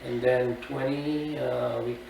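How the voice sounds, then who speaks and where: Filipino man in his fifties, unhurried. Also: medium pitch, fairly even intonation, vocal fry, a little mellow. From Philippines. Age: 50s. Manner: unhurried, plain-spoken recollection with fillers. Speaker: interviewee. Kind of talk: oral history interview. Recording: echoey room, distant mic, faint noise.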